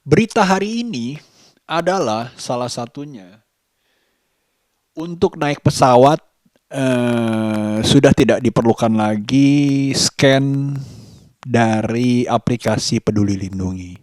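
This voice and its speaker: A man talking in a casual daily vlog, reflective and halting, pausing mid-sentence. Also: mid-range voice, a little mellow, dry recording, studio quality. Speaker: vlogger.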